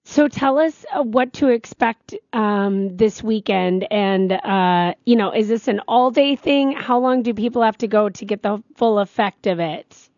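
A woman's voice: mid-range voice, measured pace, few pauses, a little mellow, dry recording. A woman hosting a radio show, chatting casually in an informal, bantering way. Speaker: radio host. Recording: muffled radio broadcast audio.

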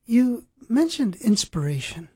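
Slow, dark, husky woman host. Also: a little high, big pitch swings, no room sound, studio quality. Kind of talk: scripted program intro and interview.

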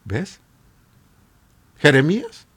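A man with a Latin American Spanish accent and a husky voice, preaching informally and emphatically with repeated rhetorical questions. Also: a little high, huge pitch swings, very slow, fairly bright tone. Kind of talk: informal exhortation sermon.

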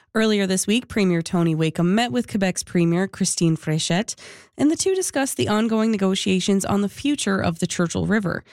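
A woman's voice: mid-range voice, darkish tone, no echo, clean studio sound. A Canadian woman hosting a morning radio show, slightly sniffly from a cold, reading from a script. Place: radio studio.

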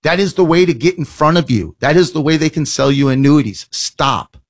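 A man hosting a financial advice radio show, talking in a blunt, emphatic way and rattling off lists. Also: a little high. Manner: emphatic, blunt, rapid listing. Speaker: financial advisor radio host.

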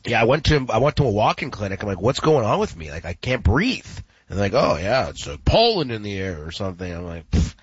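Congested, playful sports radio host. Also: male voice, lowish voice, big pitch swings, quick pace, hardly any pauses, slightly rough, no room sound, lo-fi sound. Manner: playful, jokey. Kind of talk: casual radio chat with sponsor reads.

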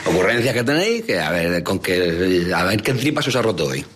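Low-voiced sarcastic male co-host. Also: big pitch swings, brisk, a bit bright, slight background noise. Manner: sarcastic, teasing, mock-grumpy. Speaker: podcast co-host. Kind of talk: podcast conversation.

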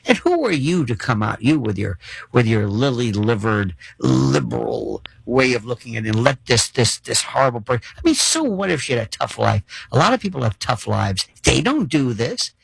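A man's voice: mid-range voice, big pitch swings, moderate pace, creaky, slightly rough, no room sound, low-quality recording. A man hosting a call-in radio talk show, theatrical and wordy with mock-formal turns of phrase. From Florida.

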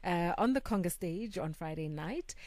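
Female radio host; low-ish voice, encouraging interviewer. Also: animated, moderate pace, darkish tone, no echo.

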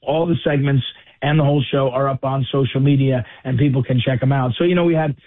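A man with a voice going hoarse, a radio guest talking casually, repeating and correcting himself. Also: medium pitch, fairly even intonation, fast, no echo. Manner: chatty, self-correcting, repeats words. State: losing his voice. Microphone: phone or call-in line. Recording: tinny phone line.

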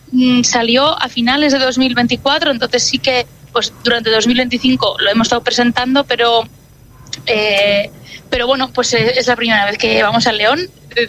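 A Basque-native woman, a jazz singer, answering interview questions in a relaxed, thoughtful way with many filler words. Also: on the high side, moderate pace, slight background noise, low-quality recording.

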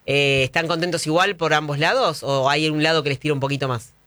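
A man's voice: a little high, fairly even intonation, brisk, pushed and bright, dry recording. An Argentine man co-hosting a radio interview, asking playful questions. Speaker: radio co-host.

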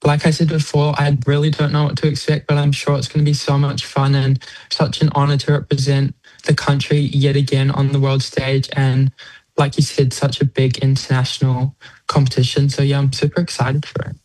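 A late-teen competitive swimmer from New Zealand with a New Zealand accent gives casual interview answers. The pitch stays fairly even and the sound is dry, with little room. The phrasing is thoughtful, dotted with "you know" and "like". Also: fairly quick, no echo, rough recording. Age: late teens, young adult.

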